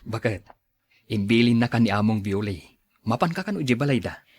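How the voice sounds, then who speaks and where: A man's voice: on the low side, expressive, fairly quick, husky, dry recording. A man playing a humble, dutiful plantation worker in an audio drama, mild and hesitant in manner.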